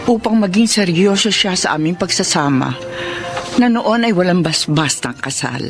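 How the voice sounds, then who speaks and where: An actress playing a kind, patient middle-aged mother and wife in a radio drama, gentle and sincere. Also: fairly low pitch, lively intonation, moderate pace, music in the background. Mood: reflective. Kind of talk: Tagalog radio drama narration and acting.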